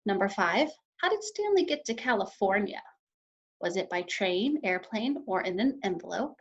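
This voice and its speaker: A woman teacher reading a children's story aloud and explaining it to young pupils in an expressive way. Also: mid-range voice, normal speed. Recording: slightly dull, lo-fi sound.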